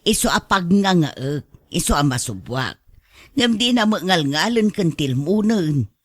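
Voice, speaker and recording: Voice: mid-range voice, big pitch swings, a little slow, dry recording, studio quality. An actor with an Ilocano accent playing an exasperated but caring spouse in a radio drama, scolding and coaxing. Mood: complaining.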